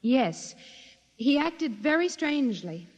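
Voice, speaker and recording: An actress playing an emphatic, earnest secretary giving witness testimony in a 1950s radio drama. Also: female voice, high voice, sing-song, slow pace, darkish tone, full character voice. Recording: vintage radio drama, echoing hall.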